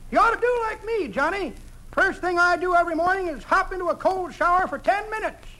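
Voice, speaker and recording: Very high-pitched folksy old-timer. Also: male voice, lively intonation, brisk, sharp tone, heavily acted. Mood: chipper, folksy. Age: older. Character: chipper, folksy, meddling old man. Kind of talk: 1940s radio comedy acting. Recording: noisy old radio comedy recording.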